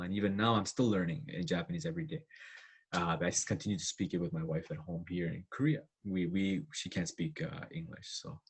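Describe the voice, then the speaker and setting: Husky low-voiced man, rambling casual talk. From Canada. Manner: rambling, many restarts and fillers. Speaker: co-host. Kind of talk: casual discussion.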